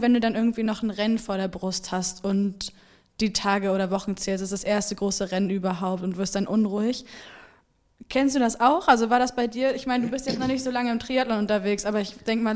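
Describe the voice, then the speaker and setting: Brief: young adult woman host, slightly high, fairly even delivery, talkative. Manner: chatty, asks follow-up questions. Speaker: podcast host. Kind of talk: casual podcast conversation.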